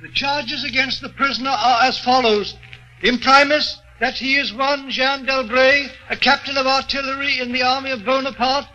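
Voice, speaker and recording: A man's voice: very high voice, fairly even intonation, moderate pace, sharp tone. A man playing an officious Inquisition clerk in a 1940s radio drama, formally proclaiming as if reading out charges. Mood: officious, declaiming. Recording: dull old radio recording.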